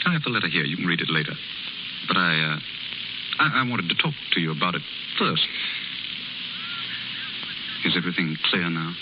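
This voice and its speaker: A man playing a kind, earnest gentleman in a 1930s radio drama, gentle and a little hesitant. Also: sits low, expressive, a little fast, long pauses. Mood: earnest, tender. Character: kind, earnest gentleman caring for a young woman. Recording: muffled, crackly 1930s radio recording.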